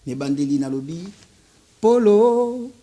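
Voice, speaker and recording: A man's voice: a little high, animated, measured pace, warm tone. A Congolese man with a Congolese French accent, a guest musician talking earnestly in an interview and often repeating words as he searches for them. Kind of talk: casual interview talk mixing French and Lingala.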